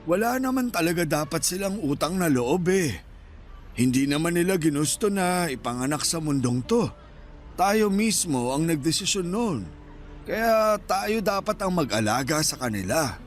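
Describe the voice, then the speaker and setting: An actor playing an elderly, selfless father in a radio drama, speaking in a calm, accepting, reassuring manner. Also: on the high side, normal speed, faint music behind, close to an everyday voice. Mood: gently reassuring. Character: elderly father, a gentle, selfless, contented street vendor.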